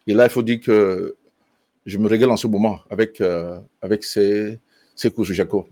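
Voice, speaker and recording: A man, a bass student, telling his teacher in an interview why he joined the course, with many fillers and restarts. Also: fairly even intonation, normal speed, mellow, no echo. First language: French. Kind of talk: testimonial interview.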